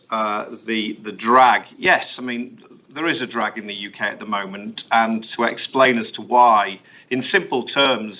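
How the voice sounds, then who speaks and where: A male company executive answering questions on an earnings call in a measured, explanatory way. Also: on the low side. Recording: muffled phone-line conference call audio.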